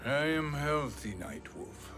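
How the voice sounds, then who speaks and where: Smug older sorcerer, silky and slow, condescending video game villain, music behind. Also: male voice, on the high side, big pitch swings. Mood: smug, persuasive. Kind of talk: dramatic video game acting.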